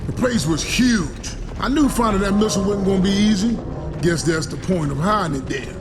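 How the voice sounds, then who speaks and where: A man's voice: sits high, lively intonation, fairly quick. A man voicing a brash young soldier and ex sports star in a video game, talking in a colloquial, swaggering way. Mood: matter-of-fact. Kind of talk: video game voice acting, narrating and battle shouts. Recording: loud game music behind the voice.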